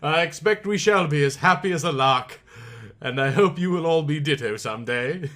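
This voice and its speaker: Man playing a formal, bright-voiced Victorian gentleman. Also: a little high, animated, normal speed. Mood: gracious.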